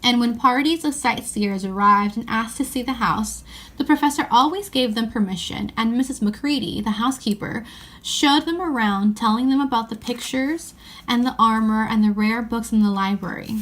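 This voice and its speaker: A woman's voice: fairly high pitch, normal speed, a bit bright. A woman narrating a children's story as an audiobook reading.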